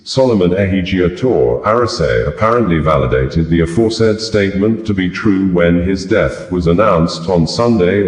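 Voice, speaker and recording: A man reading scripted news in a formal, deliberate manner. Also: deep voice, a little mellow. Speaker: news reader. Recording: roomy, echoing voice recording.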